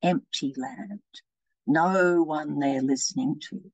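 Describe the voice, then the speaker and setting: A middle-aged woman running an anti-racism webinar, warmly reading participants' chat replies aloud and encouraging them. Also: low voice, takes it slowly, no echo, rough recording. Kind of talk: interactive webinar teaching. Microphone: video call.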